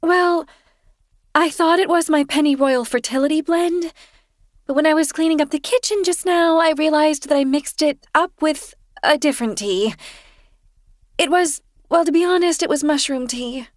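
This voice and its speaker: A woman reading a novel aloud, voicing a bubbly, flustered young woman in a high, gushing voice. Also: moderate pace, no room sound, studio quality, close to an everyday voice. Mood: apologetic gushing.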